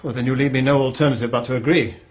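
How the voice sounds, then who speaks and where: An actor playing a worried country gentleman in a radio drama. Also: medium pitch, steady tone, racing, breathy edge, highs cut off, natural voice. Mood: worried, resigned.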